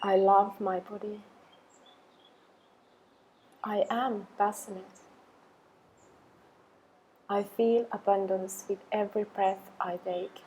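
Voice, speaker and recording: Woman guiding meditation in an even tone. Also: mid-range voice, a little hiss or hum, rough recording. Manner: slow, measured phrasing with long pauses. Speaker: meditation and yoga guide. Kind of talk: guided affirmation meditation.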